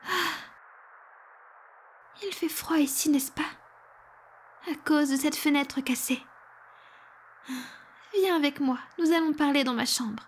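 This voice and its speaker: A woman playing a powerful, protective female vampire in an ASMR roleplay, speaking in a soft, breathy, formal and self-assured way. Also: sits high, slow pace, pauses often, slight background noise. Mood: soothing, protective. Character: powerful protective female vampire, commanding yet tender lover.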